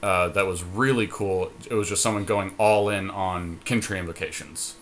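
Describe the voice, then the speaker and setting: Male podcast co-host, lower register, casual gamer chat, easygoing and joking. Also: fairly even intonation, moderate pace, fairly bright tone. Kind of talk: casual chat during a card game draft.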